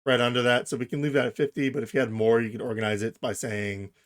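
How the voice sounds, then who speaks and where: A man narrating a casual software tutorial, explaining things informally, step by step, as its host. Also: medium pitch, flat delivery, fast talker.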